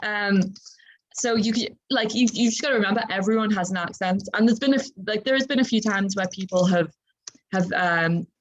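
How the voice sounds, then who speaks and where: A young woman with a softened Liverpool accent, talking informally on a student panel in a chatty, halting, self-correcting way. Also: medium pitch, highs cut off. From Liverpool, England. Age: young adult.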